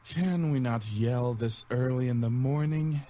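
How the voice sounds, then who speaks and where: Mellow, reserved young man voiced by an actor. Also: mid-range voice, measured pace, close to an everyday voice. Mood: irritated. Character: calm, protective, refined young man, easily annoyed. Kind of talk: video game voice acting.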